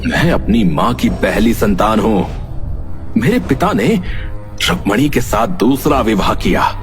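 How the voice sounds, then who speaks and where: Man doing a low, menacing, greedy villain. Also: animated. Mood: bitter boasting. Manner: boastful, deliberate, menacing. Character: greedy, vengeful, scheming elder half-brother, a villain. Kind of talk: Hindi horror cartoon acting. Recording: loud music behind the voice.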